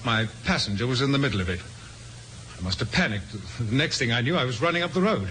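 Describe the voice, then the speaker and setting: A man's voice: medium pitch, animated, fast talker, pushed and bright. An actor playing an evasive, affable salesman in his thirties under questioning, hesitant and stammering, in an old radio drama. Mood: nervous, evasive. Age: 30s. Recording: noisy old radio recording.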